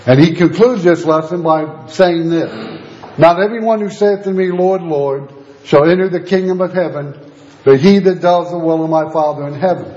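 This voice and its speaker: Hoarse-edged man preaching in an echoey room. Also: on the high side, normal speed, dull audio. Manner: steady teaching delivery with scripture readings. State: losing his voice. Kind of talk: sermon.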